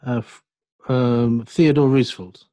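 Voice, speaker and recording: A man's voice: mid-range voice, steady tone, unhurried, warm tone. A man taking part in an online quiz over a video call, giving answers and casual remarks. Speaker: quiz contestant.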